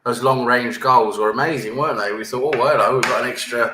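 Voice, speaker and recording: A man's voice: mid-range voice, fairly even intonation, fast, over a noisy crowd. A man from England, a panel guest in a casual chat, who keeps ending his points with tag questions. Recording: echoey room with faint ambience.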